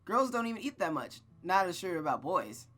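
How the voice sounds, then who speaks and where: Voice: mid-range voice, big pitch swings, brisk, a bit bright, soft background music. A reader voicing a headstrong, chatty young girl from a visual novel, earnest, eager and insistent. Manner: eager, emphatic, pleading. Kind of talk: visual novel read-aloud character voice.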